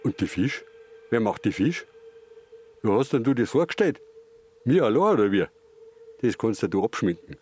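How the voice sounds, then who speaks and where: A stubborn old Bavarian fisherman in a radio play. His voice is on the low side and his delivery is blunt and curt. A faint ambient noise sits behind him. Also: big pitch swings, normal speed, pauses often, darkish tone. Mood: indignant, stern. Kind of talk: radio drama dialogue.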